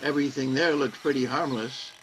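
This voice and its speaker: An actor playing a guarded, nervous saboteur in a radio play, speaking tersely. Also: male voice, medium pitch, a little fast, slight background noise, lightly acted, low-quality recording. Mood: guarded, uneasy. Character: nervous, guarded male saboteur posing as cinema owner.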